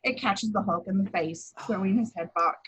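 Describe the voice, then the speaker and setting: Female narrator for screenplay action lines, medium pitch with a husky, unpolished tone. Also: moderate pace, fairly bright tone, low-quality recording.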